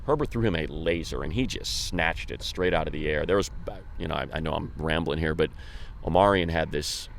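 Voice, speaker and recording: Deep-voiced American man talking sports. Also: fairly quick, gravelly, a little hiss or hum. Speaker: sports radio host. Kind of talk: casual sports talk.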